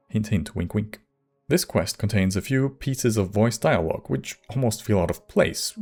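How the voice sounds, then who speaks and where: Casting: man for essay narration, fairly low, measured and wry, light music bed. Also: darkish tone. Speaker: video essay host. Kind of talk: scripted narration. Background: faint music.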